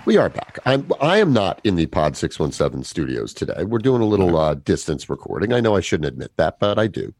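A man's voice: low voice, big pitch swings, fairly quick, few pauses, a little mellow, a little hiss or hum. A man with a slightly nasal voice co-hosting a podcast, playful and self-deprecating. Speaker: podcast co-host. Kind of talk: joking podcast banter. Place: recording remotely, away from the studio.

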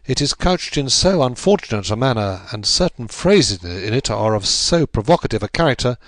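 A man reading aloud, voicing an old, stern prime minister who speaks gravely and with measured weight. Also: mid-range voice, dry recording, natural voice.

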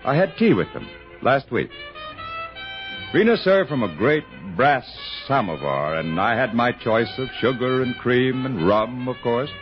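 Music plays clearly behind a muffled vintage radio recording of a genial male storyteller. He is polished and confiding, with an expressive, animated delivery. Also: mid-range voice, unhurried. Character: urbane, genial male Hollywood storyteller addressing listeners. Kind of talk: old-time radio drama narration.

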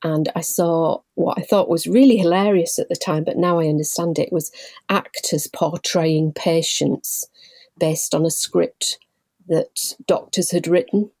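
A woman in her forties from Leeds, a guest telling her story in a measured, thoughtful way with frequent pauses. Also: on the low side, fairly even intonation, darkish tone, no echo. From Leeds, England. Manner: measured and thoughtful, pausing often. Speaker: guest, patient involvement manager. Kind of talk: reflective personal storytelling.

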